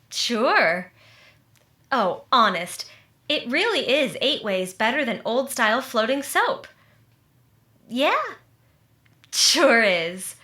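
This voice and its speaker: Woman acting as chirpy radio comedy friend, chatty, forward bright tone, unhurried pace, echoey stage sound. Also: on the high side, exaggerated voice.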